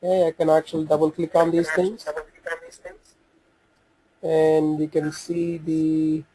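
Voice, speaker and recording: A man presenting an informal software walkthrough, talking haltingly as he thinks aloud while working through the screens. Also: fairly high pitch, monotone, a little hiss or hum, low-quality recording.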